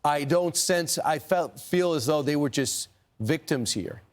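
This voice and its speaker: An American man co-hosting a morning news show, conversational and a little halting as he thinks aloud. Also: a little high, lively intonation. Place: TV studio couch set.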